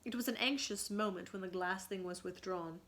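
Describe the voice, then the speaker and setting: Woman teacher, children's read-aloud, bright clear-cut tone, expressive narration, questioning and explanatory. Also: medium pitch, moderate pace.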